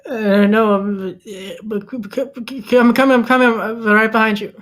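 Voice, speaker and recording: A woman's voice: on the high side, steady tone, moderate pace, natural voice. A woman playing a nervous, eager, bewildered newcomer in a comedy table read, hesitant and stammering.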